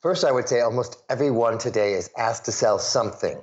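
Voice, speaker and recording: A man's voice: mid-range voice, brisk. Midwest guy coaching on sales stories, does little voices for the people he quotes, kinda muffled audio. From suburbs of Chicago, US Midwest. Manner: animated storyteller, acts out quoted voices. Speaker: guest, sales pitch coach. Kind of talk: interview answers with stories.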